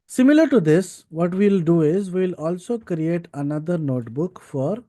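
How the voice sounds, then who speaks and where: Guy teaching coding live, kinda high soft-toned mellow voice, says okay and right a lot. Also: lively intonation, normal speed. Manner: checks understanding with tags like right and okay. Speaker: data science instructor. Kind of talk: informal live coding lesson, explaining step by step.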